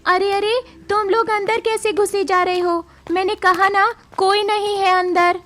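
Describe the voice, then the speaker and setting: A woman voicing a kind, brave young village woman in a cartoon story, earnest and expressive. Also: measured pace. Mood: defiant. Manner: earnest, expressive, high-voiced. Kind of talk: Hindi cartoon moral story dialogue.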